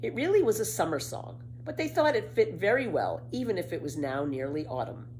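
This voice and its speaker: Animated woman reading a children's story. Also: on the low side, brisk. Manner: dramatic, emphatic storytelling. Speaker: storybook reader. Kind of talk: expressive children's story narration. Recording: faint background noise.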